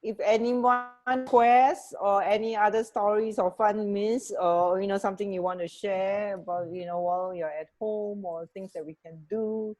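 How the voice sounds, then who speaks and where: A woman's voice: medium pitch, fairly even intonation, moderate pace, hardly any pauses, a little mellow, soft background music, low-quality recording. A middle-aged woman co-hosting a radio show in casual, giggly chat over a video call from home. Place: at home, indoor room. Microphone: wired earphones on a video call.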